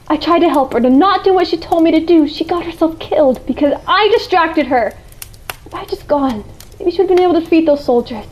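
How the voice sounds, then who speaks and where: A woman's voice: very high-pitched, slight background noise. A woman acting a stubborn, impulsive, fiercely loyal young half-elf in a fantasy radio play, earnest and full of questions. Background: music under the voice.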